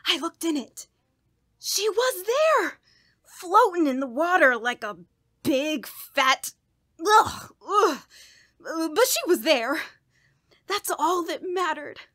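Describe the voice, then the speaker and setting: An actress playing a guilt-ridden, unraveling woman in a radio horror play, telling her story in a halting, dramatic way. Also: very high voice, sing-song, pushed and bright, no room sound, studio quality, heavily acted. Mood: hysterical, appalled. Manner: halting, repeating words, breaking into nervous laughs and gasps. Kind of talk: dramatic first-person horror monologue in old-radio style.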